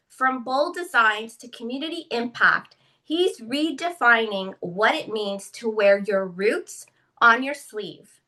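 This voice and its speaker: A woman's voice: on the high side, bright, forceful tone, far from the mic, rough recording. A woman hosting a podcast, upbeat and effusive as she introduces and questions her guest. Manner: enthusiastic, effusive. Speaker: podcast host.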